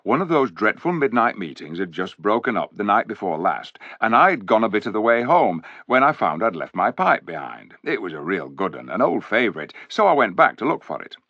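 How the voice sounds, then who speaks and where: A man's voice: on the low side, very animated, fairly quick, few pauses, sharp tone, no echo, heavily acted. A man voicing a blunt, homely male dwarf who tells his news in a rambling, folksy way. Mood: earnest. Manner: folksy, rambling storyteller's telling. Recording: dull, dry recording.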